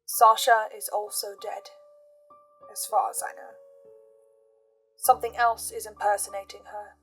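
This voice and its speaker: A woman hosting a recap show, laying out her notes and theories in a deliberate, measured way with dramatic pauses. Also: medium pitch, little pitch movement, fairly bright tone, soft background music. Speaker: host of a podcast listener's reaction and theory show.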